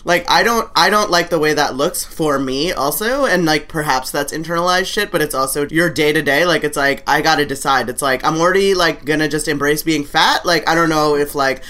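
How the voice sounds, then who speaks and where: Woman, 30s, deep masculine-sounding voice, bright forceful tone, candid rambling chat. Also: a little fast, few pauses. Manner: candid, rambling, peppered with fillers and laughter. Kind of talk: casual conversation.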